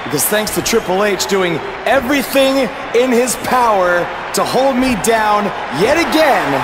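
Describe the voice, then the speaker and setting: An actor playing a cocky, defiant wrestler giving a declamatory promo speech in a video game. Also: male voice, sits high. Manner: declamatory, shouting into a microphone. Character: cocky male pro wrestler, new champion, boastful and defiant. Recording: loud arena crowd noise. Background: roaring arena crowd.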